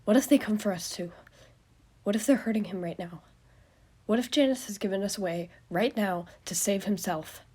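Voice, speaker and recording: A woman voicing an anxious young man who spirals into panicked questions and angry shouting, her voice husky. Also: medium pitch, normal speed, natural voice.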